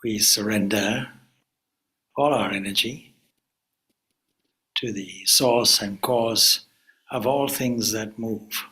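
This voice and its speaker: A man leading a guided meditation as a spiritual teacher, speaking calmly and deliberately in short phrases with pauses. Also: on the low side, low-quality recording.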